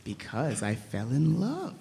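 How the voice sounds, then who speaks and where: A man playing a cheerful, warm-hearted nephew in a theatrical stage reading, earnest, declamatory and pleading. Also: fairly high pitch, wildly swooping pitch, measured pace, vocal fry, a little hiss or hum, heavily acted. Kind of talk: theatrical dramatic reading of Victorian story. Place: large church interior. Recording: echoey hall with long reverb.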